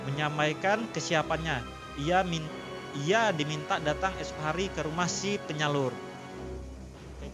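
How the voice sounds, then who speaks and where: A man narrating a horror story in a slow, savouring read-aloud, with his own commentary to viewers. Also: on the high side. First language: not Javanese; Javanese not fluent. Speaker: storytelling content creator. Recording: music clearly behind the voice. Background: background music.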